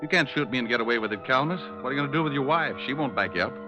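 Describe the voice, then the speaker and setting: An actor playing a wisecracking, unflappable tough private detective with a wry, teasing manner, in mid-century radio drama style. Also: male voice, mid-range voice, expressive, very fast, lightly acted. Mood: cool, taunting. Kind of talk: 1940s-50s radio detective acting and narration. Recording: muffled old radio recording, music behind.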